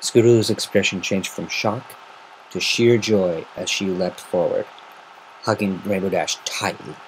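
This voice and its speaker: Lowish-voiced man reading a story. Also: steady tone, unhurried, slight background noise, low-quality recording. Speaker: amateur fan fiction reader. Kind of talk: semi-dramatic story narration.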